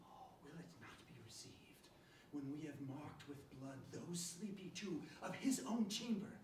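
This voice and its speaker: A man's voice: a little high, animated, normal speed, lightly acted. Volatile warrior lord, very echoey, acted by a man. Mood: awed. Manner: theatrical, swinging from outbursts to murmurs. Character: tormented, volatile warrior lord drawn into murder. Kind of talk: classical stage acting. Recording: very echoey room, distant mic, faint noise.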